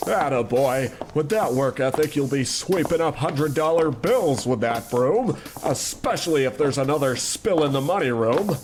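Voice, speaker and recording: A man's voice: mid-range voice, animated, normal speed, few pauses, soft background music, lightly acted. An actor playing a haughty, greedy male cartoon studio boss in an old-time radio-style comedy play, bossing his staff around dismissively.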